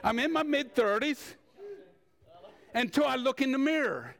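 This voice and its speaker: An older man preaching in a conversational, storytelling way, with rhetorical questions and deliberate pauses. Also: very high-pitched, animated, creaky, a bit bright. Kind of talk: sermon with personal anecdotes.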